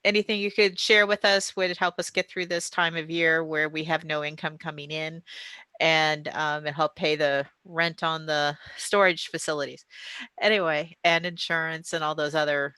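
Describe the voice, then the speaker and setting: Bright, lower-pitched woman presenting. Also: steady tone, moderate pace, smooth, no echo. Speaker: theatre company presenter. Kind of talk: casual discussion and announcements.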